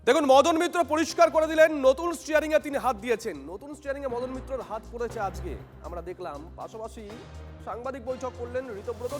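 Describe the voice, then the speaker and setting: Very high-pitched, rapid male news anchor. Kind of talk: live panel news discussion.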